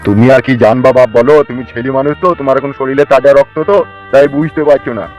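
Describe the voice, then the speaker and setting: A man's voice: mid-range voice, fairly even intonation, fast, darkish tone, lo-fi sound, close to an everyday voice. A man voicing a worried, resigned old village father in a cartoon, plaintive and placating. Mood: defensive, resigned. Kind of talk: cartoon melodrama. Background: music.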